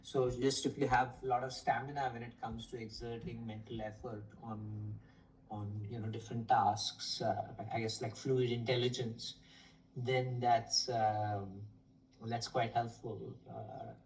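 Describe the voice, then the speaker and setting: A man in his forties, an economics professor, answering interview questions thoughtfully over a video call with frequent pauses and fillers. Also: mid-range voice, level tone, a little mellow. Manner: thoughtful, hesitant with many fillers. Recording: distant from the mic, faint noise.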